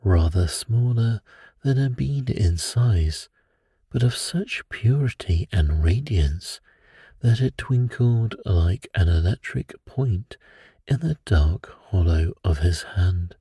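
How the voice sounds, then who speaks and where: A man narrating a classic detective tale as a bedtime story in a calm, measured, soothing manner. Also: low voice, breathy edge, dry recording.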